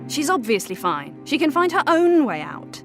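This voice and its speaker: A woman's voice: high voice, big pitch swings, normal speed. An actress playing a stern, exasperated elder-sister noblewoman in a video game, speaking in a curt, scornful way. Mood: impatient. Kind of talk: video game character acting. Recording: game music behind.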